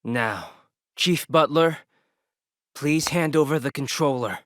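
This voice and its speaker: An actor playing a confident young detective in a video game, laying things out firmly and methodically. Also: male voice, on the high side, slow, a bit bright, no room sound, studio quality. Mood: calm, firm. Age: young adult. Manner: firm, methodical explaining. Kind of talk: video game cutscene acting.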